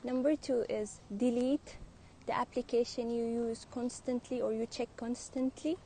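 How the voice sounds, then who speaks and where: A young woman student interviewing people and then sharing her own thoughts in a vlog, speaking thoughtfully with pauses. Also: fairly high pitch, steady tone, warm tone, a little hiss or hum. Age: young adult. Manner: slow, thoughtful, hesitant delivery. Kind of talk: street interviews and personal vlog talk.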